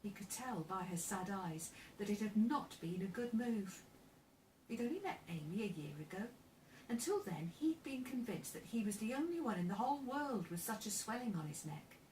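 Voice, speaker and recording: A woman narrating a children's novel as its author, in an expressive storytelling style. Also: mid-range voice, moderate pace. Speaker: author reading own novel aloud. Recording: roomy, distant mic, faint background noise.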